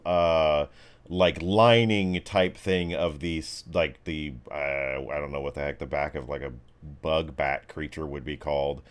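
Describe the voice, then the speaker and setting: A man with a deep voice acts as game master for a tabletop game. He improvises his narration in a casual, rambling manner, thinking out loud as he goes. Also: clean tone.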